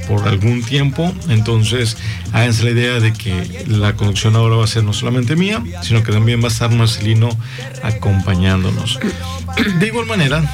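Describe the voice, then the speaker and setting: Chatty man hosting radio. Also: mid-range voice. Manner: warm, chatty, expressive, lively intonation. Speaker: radio host. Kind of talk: live university radio chat. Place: radio studio.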